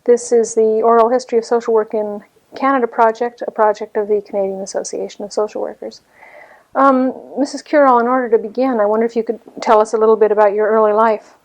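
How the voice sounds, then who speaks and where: A woman conducting an oral history interview at someone's home, asking polite, gently probing questions. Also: on the high side, steady tone, quick pace, poor audio.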